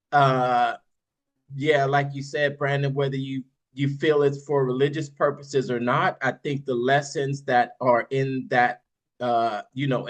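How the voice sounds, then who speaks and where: Chill host on a video call, slow and encouraging, cheering everyone on. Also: mid-range voice. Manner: encouraging, affirming, drawn-out delivery. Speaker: host of sales practice call. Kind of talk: casual group call.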